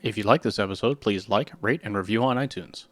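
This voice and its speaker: A man's voice: lowish voice, a little fast, no echo. A man co-hosting a developer podcast, teasing his co-hosts with dry jokes. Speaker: podcast co-host. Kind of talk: casual podcast banter.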